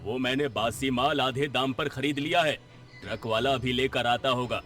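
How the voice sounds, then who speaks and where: A man's voice: medium pitch, moderate pace, fairly bright tone, soft background music, close to an everyday voice. A man playing a grumpy, miserly roadside-eatery boss in a cartoon, scolding and sarcastic in an exaggerated comic way. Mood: irritable, bossy.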